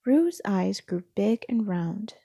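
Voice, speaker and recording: Female storybook narrator: gentle, unhurried, some creak in the voice, close and dry. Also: mid-range voice, lively intonation, no room sound. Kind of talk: scripted children's story read-aloud.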